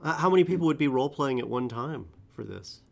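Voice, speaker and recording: A man's voice: mid-range voice, lively intonation, quick pace, gravelly. An American man hosting an interview, asking questions with interest and a few hesitant fillers. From United States. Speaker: podcast host. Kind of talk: casual interview.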